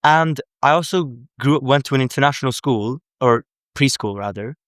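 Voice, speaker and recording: A man's voice: medium pitch, normal speed, fairly bright tone, dry recording, clean studio sound. A young man from Sweden, a language coach, explaining in casual unscripted live commentary, earnest and correcting himself often.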